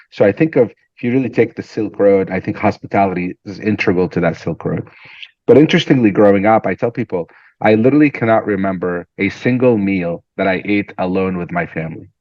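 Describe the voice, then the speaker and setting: A man from Syria, a guest entrepreneur, telling stories in an animated, conversational interview and often repeating words as he starts a sentence. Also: low voice, a little fast, no echo, dull audio.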